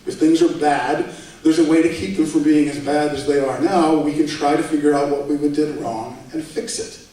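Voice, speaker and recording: A man with a fairly high voice lectures at a debate, talking in a conversational way and dropping dry asides. His voice comes from a distance and rings with heavy hall echo. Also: fairly even intonation, normal speed, warm tone. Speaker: debate speaker. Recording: echoey hall, voice from far off.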